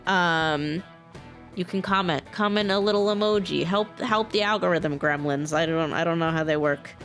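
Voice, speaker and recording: A woman talking casually and earnestly to her viewers, excited and informal in manner, as a video creator. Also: on the low side, normal speed, faint music behind. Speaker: video creator and game modder. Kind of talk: casual, personal talk to viewers.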